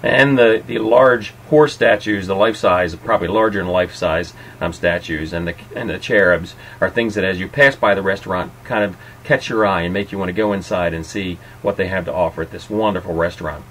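A middle-aged man gives an informal tour narration as host. His voice sits fairly low, and there is faint noise in the recording. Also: brisk, few pauses, fairly bright tone, low-quality recording.

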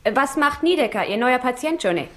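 A woman's voice: sits high, expressive, fairly quick, fairly bright tone. An actress in a 1960s radio crime drama playing a composed, concerned young woman psychiatrist with a polite, questioning manner. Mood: professional, inquiring.

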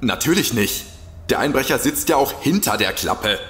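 A man's voice: mid-range voice, lively intonation, moderate pace, a bit bright, soft background music, close to an everyday voice. An actor playing a sardonic, easily exasperated male detective in a comedic audio drama.

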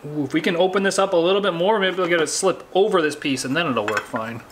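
A man's voice: on the high side, quick pace, a little hiss or hum. A Canadian man in his thirties talking in a jokey, slangy way as he hosts a casual workshop vlog from a garage. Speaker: vlog host and fabricator.

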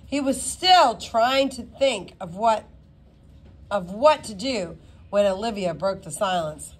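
A woman reading a children's novel aloud as an expressive storyteller. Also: mid-range voice, slow pace. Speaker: reader.

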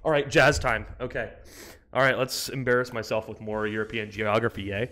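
A young man chatting casually on a live stream from a small room, profane and excitable, bursting into loud outbursts. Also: mid-range voice, brisk.

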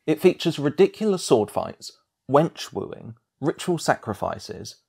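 A man's voice: fairly high pitch, very animated, a little slow, creaky, a little mellow, slightly rough. A man reading scripted narration as a video essayist, with a wry, emphatic delivery.

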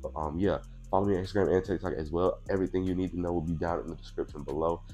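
A man's voice: low voice, steady tone, racing, mellow, soft background music. A young man in his twenties, a little congested from allergies, chatting casually through a sneaker unboxing in a small room on a clip-on mic. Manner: laid-back, chatty, says "you know what I'm saying" a lot. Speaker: sneaker vlogger. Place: small indoor room with purple lighting.

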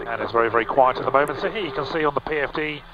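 A middle-aged man piloting a small aircraft, casually explaining the cockpit over a headset boom mic, relaxed, with frequent fillers and restarts. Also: racing, crowd noise. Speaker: pilot and vlog host. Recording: slightly dull, with faint engine hum.

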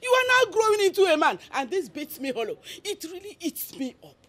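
A woman's voice: very high-pitched, sing-song, a little fast, a bit bright, heavily acted. A woman playing a mother who earnestly pleads with her grown son, emotional as she speaks.

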